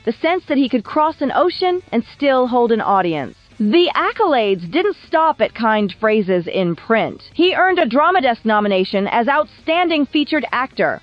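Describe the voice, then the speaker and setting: A woman narrating a scripted biographical documentary in a measured, polished storytelling manner with wry touches. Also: high voice, no echo, lo-fi sound. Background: background music.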